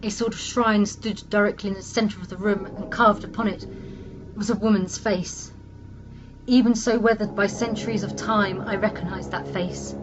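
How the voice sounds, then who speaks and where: A woman playing a trapped human alchemist, narrating her own ordeal gravely and theatrically in a fantasy audio drama. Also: medium pitch, fairly even intonation, normal speed, close to an everyday voice. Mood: grave awe. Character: female human alchemist, trapped, wary and determined survivor. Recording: dull lo-fi sound, music underneath. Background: music.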